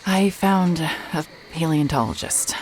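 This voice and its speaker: A woman's voice: sits low, slow pace, a little hiss or hum, rough recording. A woman playing a hard-hearted, wry private investigator in a mystery audio drama, with a dry, guarded delivery. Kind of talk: cinematic audio drama acting, noir first-person narration.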